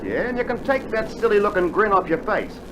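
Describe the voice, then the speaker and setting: A man's voice: high voice, big pitch swings, brisk. An actor playing an earnest, irritable Australian infantryman itching for battle, with emphatic retorts, in a scripted mid-century radio drama. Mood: earnest, insistent. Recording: noisy old vinyl radio drama recording.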